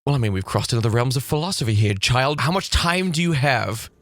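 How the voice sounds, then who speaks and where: A man with a Northern Irish accent and a slightly hoarse voice, chatting animatedly as he reacts to clips at a desk mic in his streaming room. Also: medium pitch, dry recording. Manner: animated, quick asides, frequent laughter. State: slightly hoarse from vocal fatigue. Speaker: goth reaction video host. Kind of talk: casual reaction commentary. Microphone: desk mic on boom arm.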